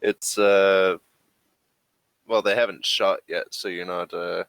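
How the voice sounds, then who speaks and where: A man running a tabletop game as game master, narrating casually and haltingly as he thinks aloud. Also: deep voice, level tone, a bit bright, clear, resonant tone, no echo.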